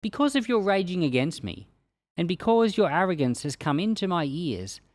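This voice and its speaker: Expressive man reading scripture with wide-ranging pitch. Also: moderate pace, no echo. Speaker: pastor reading a Bible chapter aloud for a podcast.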